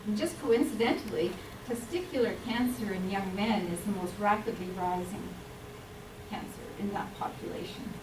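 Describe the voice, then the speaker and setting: A woman's voice: mid-range voice, moderate pace. Woman, public health talk, earnest and explanatory, distant mic, roomy hall, background noise. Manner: earnest, explanatory, with frequent ums. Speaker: health speaker.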